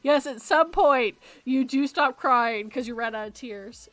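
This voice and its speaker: A woman's voice: high-pitched, measured pace, a bit bright, dry recording, soft background music. A Canadian woman in her thirties hosting a casual chat show, talking loosely and laughing as she reads out chat messages. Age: 30s. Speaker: podcast host reading chat.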